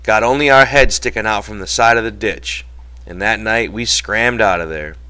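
A man voicing a protective, exasperated ranch hand in a storybook reading, telling things forcefully in folksy, ungrammatical speech. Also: lowish voice, moderate pace, clear, resonant tone. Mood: agitated, insistent.

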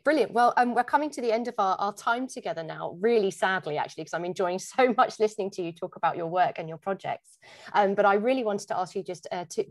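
A woman hosting a conversational interview, putting questions to her guest. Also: medium pitch. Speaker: interviewer and host.

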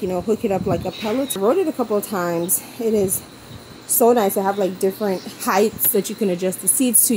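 A woman's voice: medium pitch, darkish tone, a little hiss or hum, poor audio. Chatty young woman vlogging to camera. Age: young adult. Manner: chatty, upbeat, talks straight to viewers. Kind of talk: casual vlog chat to camera. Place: car, bedroom and patio in a hot place. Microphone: camera held at arm's length.

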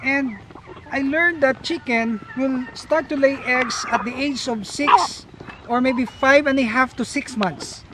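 A man's voice: very high voice, a little slow, noisy background. A middle-aged man, a farm vlogger, giving an upbeat casual tour of his outdoor farmyard and coop while explaining to viewers.

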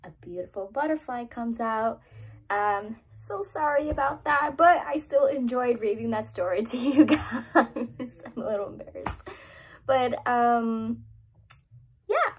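Gentle young woman reading to preschoolers. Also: a little high, a little slow, husky. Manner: gentle, animated storytime voice, lifts up on repeated lines. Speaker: preschool teacher. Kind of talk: reading a picture book to young children. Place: small room at home, indoors.

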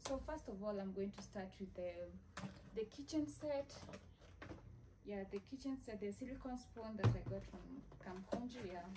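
A young woman talks chattily through a home haul, circling back to the same phrases as she unpacks. She is recorded on a phone set a few feet away on the sofa, and there is clear noise under her voice. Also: mid-range voice, normal speed. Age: young adult. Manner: chatty, repeats "yeah" and "this is how it looks like". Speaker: lifestyle vlogger. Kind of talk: casual haul unboxing chat. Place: living room, seated on a sofa. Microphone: phone camera a few feet away.

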